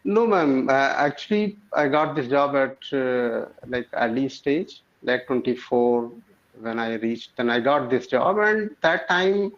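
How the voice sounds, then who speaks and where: A man in his forties, a primary teacher, talking with a lot of pauses, "uh"s and polite "ma'am"s. His voice is middle pitched and comes through a slightly muffled, dull video call line. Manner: hesitant, many fillers, formal address. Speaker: primary school teacher, learner. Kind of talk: casual conversation practice. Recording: muffled lo-fi audio.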